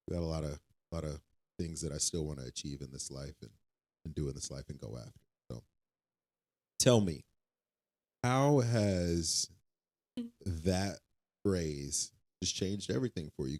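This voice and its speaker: A man's voice: low voice, a little mellow. A young man co-hosting a casual faith-based podcast, earnest and reassuring, often repeating himself as he works through a thought. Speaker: podcast co-host.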